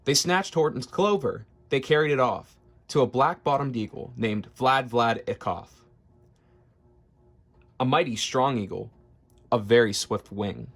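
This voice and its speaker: A man's voice: mid-range voice, a little slow, long pauses, fairly bright tone. A man reading a rhyming children's story aloud expressively, as narrator. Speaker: storybook reader.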